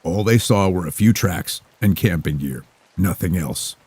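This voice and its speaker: A tired, skeptical male detective, read by a male narrator, asking blunt, matter-of-fact questions. His voice is low and husky. The sound is dry and close-miked. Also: lively intonation, a little slow, a little mellow, no room sound, full character voice. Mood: questioning.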